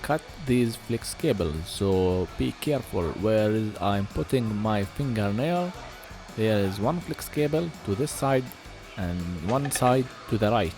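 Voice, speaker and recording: A man giving an informal voice-over for a phone repair, speaking halting, non-native English. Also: lowish voice, lively intonation, music in the background. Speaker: phone repair video host.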